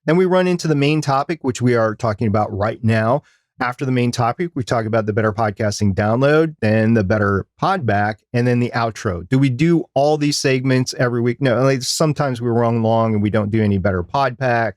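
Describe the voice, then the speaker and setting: A man with a mid-pitched voice co-hosts a podcast. He delivers semi-scripted advice and drops in casual asides. The recording is dry, with very little room sound. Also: brisk, no echo. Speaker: podcast co-host.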